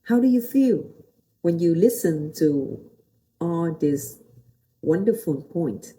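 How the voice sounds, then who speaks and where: Middle-aged female motivational speaker, native Vietnamese with matching accent, very dark soft-edged tone, unhurried. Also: fairly low pitch, expressive, slight background noise, poor audio. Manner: simple non-native English with short, repeated phrases and questions to the listener. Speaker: host, motivational teacher and pastor. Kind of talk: motivational talk to viewers.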